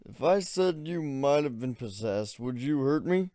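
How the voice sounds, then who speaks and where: A man's voice: medium pitch, expressive, a little slow, dark tone, no room sound, clean studio sound, close to an everyday voice. A man voicing a nonchalant, sheepish male companion in an offhand, casual manner.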